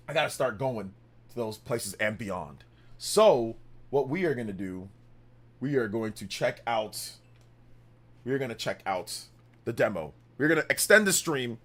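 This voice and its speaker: A man in his fifties hosting a casual gaming livestream, rambling and correcting himself as he talks to chat, laughing often. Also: medium pitch. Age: 50s. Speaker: livestream host.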